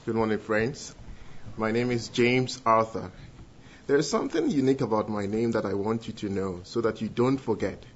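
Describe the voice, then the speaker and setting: A man's voice: lowish voice, animated, muffled recording, a little hiss or hum. Earnest Ghanaian man giving testimony. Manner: earnest, with filled pauses and restarts. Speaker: guest speaker giving testimony. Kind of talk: church testimony and prayer.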